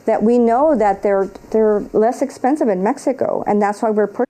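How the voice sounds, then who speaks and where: Brief: female official interviewed for the news, hesitant and halting, mellow tone. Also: a little high, poor audio. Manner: hesitant, repeats words. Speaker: border official.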